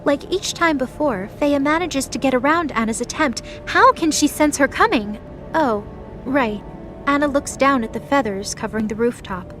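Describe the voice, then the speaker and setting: High-pitched woman narrating a story. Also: moderate pace, fairly bright tone, faint music behind. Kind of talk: scripted audio drama narration.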